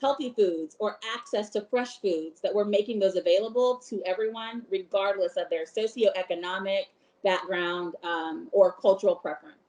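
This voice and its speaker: A woman from Brooklyn speaks from home in a measured, articulate manner, using a few soft fillers. Her voice sits at a middle pitch for a woman. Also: rough recording. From Brooklyn, New York. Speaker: food bank director. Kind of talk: interview answers.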